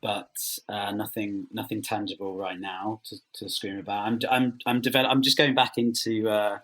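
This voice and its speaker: A man speaking as an interview guest, chatting casually in a halting, modest, understated way. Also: fairly low pitch, little pitch movement, fairly bright tone, rough recording.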